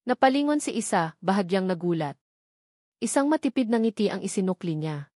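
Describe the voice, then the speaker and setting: A Filipino woman tells a scripted romantic story with an emotive, dramatic delivery. Her voice sits at a middling pitch for a woman. She leans on short, emphatic phrases, and the sound is dry, with hardly any room to it. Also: lively intonation, brisk, darkish tone, no echo. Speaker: story narrator.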